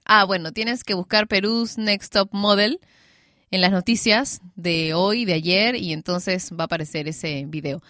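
A woman's voice: medium pitch, pushed and bright, no room sound, studio quality. A woman hosting radio, chatting casually and giggling as she reads out listener messages. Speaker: radio host. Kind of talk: casual live chat reading listener messages.

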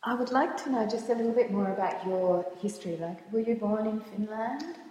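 A woman interviewer asking gentle, encouraging questions. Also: mid-range voice, fairly quick. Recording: very echoey room with long reverb.

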